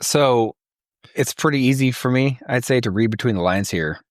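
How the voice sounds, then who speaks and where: A man's voice: mid-range voice, normal speed, no echo, studio quality. A man co-hosting a comedy podcast, chatting casually and teasing as he goes. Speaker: podcast co-host.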